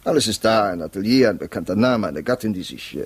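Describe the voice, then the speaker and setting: An actor in a 1950s radio drama playing an officious, exasperated defense lawyer, agitated and pleading. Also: male voice, lowish voice, lively intonation, fast, husky.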